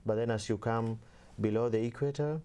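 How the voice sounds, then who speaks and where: Man, young adult, from Kenya, seminarian, soft-spoken, many fillers, mellow tone. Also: mid-range voice, fairly quick, clear, resonant tone. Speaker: seminarian guest. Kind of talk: casual interview talk.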